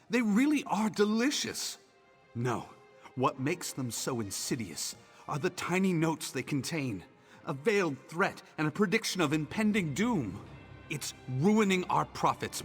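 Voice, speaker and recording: An actor playing a smarmy, flirtatious male merchant in a video game, with a husky, oily delivery. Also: a little high, sing-song, measured pace, fairly bright tone, big-room sound, soft background music, heavily acted. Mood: sly. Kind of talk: video game character dialogue.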